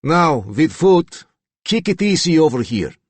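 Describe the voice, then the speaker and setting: A man voicing a young, calm and dangerous Yugoslavian gunman with a Slavic accent and clipped broken English, in an audiobook. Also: a little high, measured pace, dry recording, voice pushed up. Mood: calm menace. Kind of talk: audiobook character dialogue.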